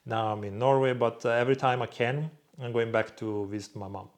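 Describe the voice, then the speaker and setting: A young man from Croatia speaks in a relaxed vlog Q&A. He answers fans off the cuff, bluntly, and swears freely. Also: medium pitch, a little fast. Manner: blunt, swears freely, reads viewer questions aloud in a louder voice. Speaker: vlog host answering viewer questions. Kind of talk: casual Q&A monologue.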